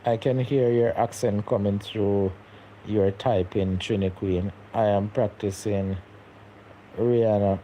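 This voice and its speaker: A young man chats loosely on his live stream, looping back over his words as he talks. His voice is mellow and dark, with a faint hum of background noise. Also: on the low side, fairly even intonation, slow, poor audio. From Jamaica. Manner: rambling, repeats words, laughs often. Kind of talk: casual live-stream chat reading comments.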